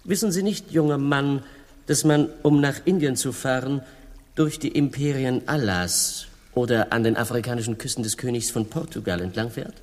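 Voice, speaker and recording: A man's voice: medium pitch, normal speed, lightly acted. A man playing a stuffy, fretful Spanish king in a comic radio play. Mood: indignant. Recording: long, hall-like reverberation.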